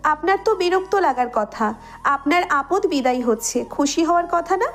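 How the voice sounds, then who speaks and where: A woman's voice: high-pitched, expressive, moderate pace. A woman playing a hurt, pleading and reproachful young woman in love in a Bengali romantic audio story. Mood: bitter, wounded.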